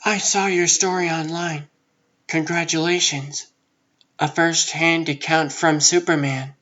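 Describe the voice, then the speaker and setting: A man's voice: fairly high pitch, flat delivery, unhurried, sharp tone, highs cut off. A man voicing a guarded but friendly woman reporter in a comic book dub.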